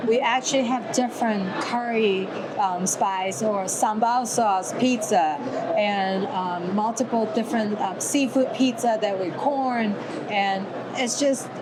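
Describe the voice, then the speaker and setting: A woman's voice: mid-range voice, unhurried. A woman from Malaysia, a guest chef, talking in a casual interview with many fillers and restarts. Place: busy trade show floor. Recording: loud crowd noise behind.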